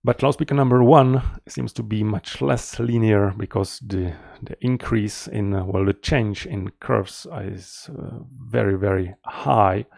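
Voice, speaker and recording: A middle-aged man giving an explanatory technical presentation as a researcher, in a measured, slightly halting manner, close to the mic. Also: lowish voice, creaky, darkish tone, dry recording. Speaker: researcher presenting a conference paper.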